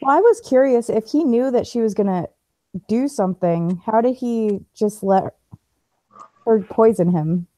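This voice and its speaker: A woman with a soft-edged, mellow tone talks casually about books on a group video call. Her sound is close and dry, with very little room in it. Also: on the high side, unhurried, no echo. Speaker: book club member. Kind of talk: casual book discussion.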